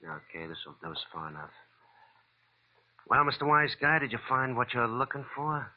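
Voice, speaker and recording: A man's voice: mid-range voice, very animated, moderate pace, background noise, natural voice. A man playing a nasty, threatening little gunman in a 1940s radio crime drama, with tough, ungrammatical street slang. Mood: menacing. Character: small, nasty, long-haired gunman, surly and threatening. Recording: muffled old radio recording.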